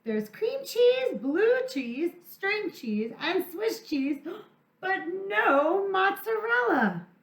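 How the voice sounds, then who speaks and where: A woman voicing an industrious little red hen from a children's storybook, clucking and calling out in drawn-out phrases. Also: extremely high pitch, very animated, pitched above the performer's own voice, exaggerated voice. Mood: eager, calling out. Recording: echoey room sound.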